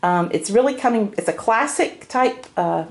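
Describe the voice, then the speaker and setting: A woman's voice: fairly high pitch, lively intonation, moderate pace. An older woman chatting casually and explaining things as a homemaker vlogger, recorded at home behind a handheld camera. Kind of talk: casual grocery haul chat. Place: indoors at home, by a dining table. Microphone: handheld camera, speaker behind it.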